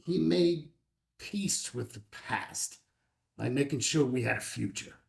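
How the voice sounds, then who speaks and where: A man's voice: mid-range voice, animated, slow, creaky, full character voice. A man playing a haunted, wistful small-town witness, brooding as he recounts an incident at a table read.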